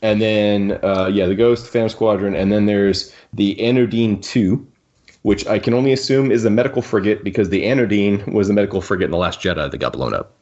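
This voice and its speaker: A man's voice: lowish voice, brisk, a little mellow. A man chatting casually and keenly as a podcast co-host about fan details. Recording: dull, lo-fi microphone sound.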